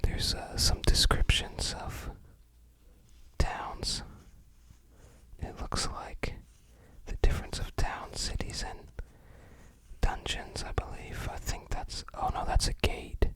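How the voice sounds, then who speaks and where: An ASMR creator whispering unhurried, musing, slightly hesitant commentary while showing items to the viewer. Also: low-quality recording.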